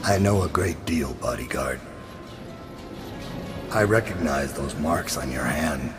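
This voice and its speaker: A man playing a brooding, world-weary middle-aged assassin in a video game, with a gravelly voice and a grim, deliberate, menacing manner. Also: extremely low pitch. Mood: cold, measured. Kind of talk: video game voice acting, monologue and combat lines. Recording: long reverb, music underneath.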